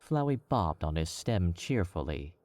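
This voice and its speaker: A man narrating a fan fiction as an audiobook, in a measured, wry storytelling manner. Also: deep voice, sing-song, darkish tone, no room sound.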